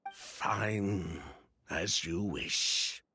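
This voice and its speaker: An actor playing a shady merchant brother in a video game, evasive and condescending under theatrical politeness. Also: male voice, low voice, pushed and bright, slight background noise, exaggerated voice. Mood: resigned. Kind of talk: English game dub acting.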